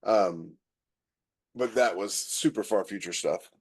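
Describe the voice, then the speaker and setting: Male co-host, fairly low pitch, informal conversational delivery, halting, thinking aloud. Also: breathy edge. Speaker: podcast co-host, science fiction author. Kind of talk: casual chat about sci-fi writing.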